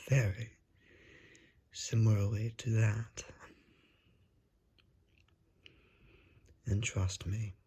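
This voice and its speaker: Suave ASMR vampire, acted by a man: very breathy and husky, unhurried, politely menacing and seductive. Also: lowish voice, a little mellow, exaggerated voice. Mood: calm menace. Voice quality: breathy, husky, half-whispered. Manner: courtly, drawn-out, seductive menace. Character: suave, predatory vampire, coaxing and darkly polite. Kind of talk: ASMR roleplay, slow and whispery.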